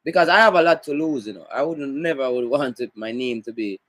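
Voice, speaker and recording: A man's voice: mid-range voice, brisk, a bit bright, no echo. A man hosting a radio interview, pushy and repeating himself.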